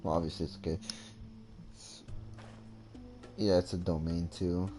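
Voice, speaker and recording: Low-voiced man rambling over a game. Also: little pitch movement, measured pace. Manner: hesitant, rambling. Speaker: gaming streamer. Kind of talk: casual game commentary. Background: faint game music.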